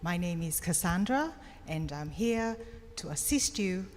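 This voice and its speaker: Female, formal greeting, unhurried, long reverb, faint noise. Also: fairly low pitch, lively intonation. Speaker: migration assistant. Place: community hall. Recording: echoey hall, background noise.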